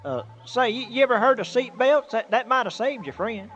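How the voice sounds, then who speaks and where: An actor playing a naive, good-natured country hauler in old-time radio comedy style, with hesitant, folksy speech. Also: male voice, very high voice, animated, fairly quick, a bit bright, muffled recording, faint music behind. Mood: nervous, apologetic.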